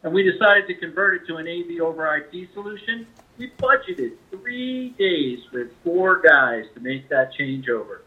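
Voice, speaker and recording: A man speaking as a company representative, giving a conversational product pitch as an interview guest over a phone line. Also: expressive, moderate pace. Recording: tinny narrow-band phone line.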